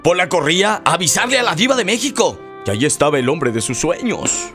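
Animated man narrating a radio soap. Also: a little high, fairly quick, big-room sound. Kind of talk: melodramatic scripted narration. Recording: music behind the voice.

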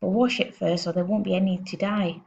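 A woman voicing a shrill, exasperated middle-aged mother who scolds and shrieks in a storybook. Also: fairly even intonation, a little fast, creaky, darkish tone, muffled recording, slight background noise, natural voice. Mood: exasperated, cutting.

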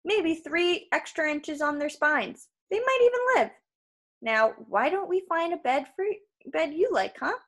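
A woman's voice: high voice, lively intonation, a little slow, fairly bright tone, voice pushed up, exaggerated voice. Woman voicing a swooping, jovially menacing giant salesman. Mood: jovial menace. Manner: folksy sales patter, casually threatening. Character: giant male waterbed salesman villain, jovial, menacing, fussy.

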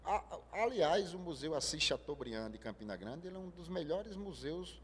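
A man, a guest artist talking easily in a TV studio interview into a handheld mic, pausing often. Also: on the high side, very animated, a little hiss or hum. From Brazil, João Pessoa. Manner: easygoing, speaks in halting bursts with fillers. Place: TV studio set. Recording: long studio reverb.

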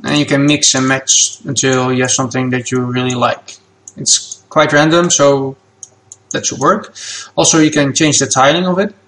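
A man with Dutch as his first language walks through a software tutorial at his desk. His mid-pitched voice has a gravelly edge, and he explains each step in a relaxed, plain way, with keys and clicks heard behind him. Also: normal speed. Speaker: game asset creator.

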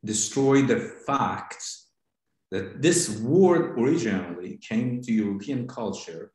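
A man with a Persian accent, a native Farsi speaker, giving a philosophy seminar lecture in a deliberate, halting way with frequent pauses. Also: medium pitch, expressive, darkish tone. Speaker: seminar instructor. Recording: very echoey room.

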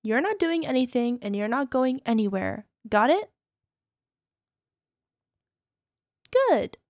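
A woman's voice: fairly high pitch, expressive, moderate pace, a little mellow, dry recording, clean studio sound, close to an everyday voice. A woman playing a doting, possessive young yandere wife in an ASMR roleplay, cooing and coaxing the listener with a controlling edge. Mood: sweetly menacing.

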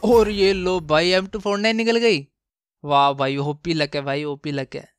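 Man, gaming channel host, smooth clear voice, dry close-mic sound, slangy exclamatory commentary, repeats himself. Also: fairly high pitch, fairly even intonation, unhurried, dry recording. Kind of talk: casual excited gameplay commentary.

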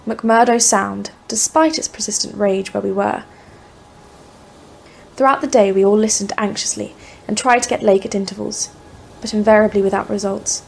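A woman's voice: medium pitch, steady tone, moderate pace, natural voice. A woman acting in an audio drama as a haunted expedition leader of thirty-four, grave and literary, foreboding in tone. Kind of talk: scripted audio-drama narration and dialogue. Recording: clearly noisy, ambient background.

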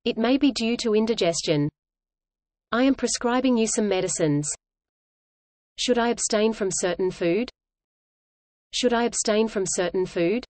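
A woman with a fairly high voice reads a scripted English-lesson dialogue, steady and measured, each line repeated for listeners to follow. The sound is dry and close, with no room around it. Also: no echo.

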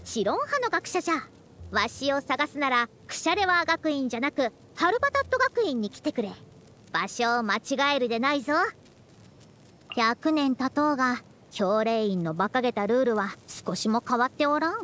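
A woman's voice: high voice, a bit bright. A woman voicing a proud, bossy game character, a youthful-looking century-old scholar with girlish tones and old-fashioned elder speech. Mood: huffy, indignant. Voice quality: girlish voice with elderly turns of phrase. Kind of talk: Japanese anime-style game voice acting.

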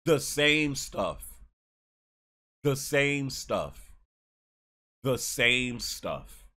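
A man's voice: mid-range voice, big pitch swings, extremely slow, pushed and bright. An American man in his thirties ranting casually to camera as a gaming YouTuber, emphatic and given to repeating phrases. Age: 30s. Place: small bedroom, indoors.

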